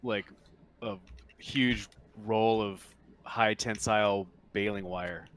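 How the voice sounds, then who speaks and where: Low-voiced man running a tabletop game. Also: fairly even intonation, takes it slowly, fairly bright tone. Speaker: game master. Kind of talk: casual tabletop roleplaying narration. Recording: clear background noise, clicks and typing.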